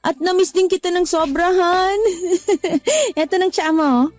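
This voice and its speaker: A woman's voice: very high voice, unhurried, darkish tone, no room sound, faint music behind. An actress playing a practical young woman in a Tagalog radio drama, teasing her partner and then scolding him for quitting his job. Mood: affectionate. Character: young woman working abroad, practical, a little irritated.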